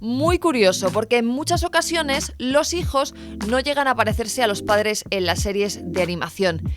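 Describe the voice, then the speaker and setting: A woman's voice: on the high side, lively intonation, moderate pace. A woman narrating a light scripted list video in a playful, wry, questioning manner. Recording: music behind the voice. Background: music.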